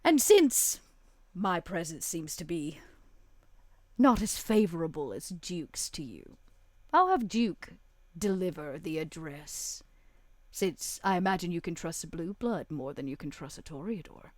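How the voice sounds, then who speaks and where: A scheming vampire power broker acted by a woman, speaking in a lowish, closely recorded voice. She is theatrical and deliberate, teasing her way through florid lines in a tabletop horror game. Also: big pitch swings, dry recording. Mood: measured bargaining. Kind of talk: tabletop roleplay in character.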